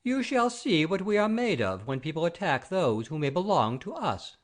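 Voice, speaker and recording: A man's voice: a little high, big pitch swings, normal speed. An actor playing a proud, blustering baron and father-in-law in a theatrical comedy audio play, pompous and declamatory. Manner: pompous, oath-swearing, declamatory.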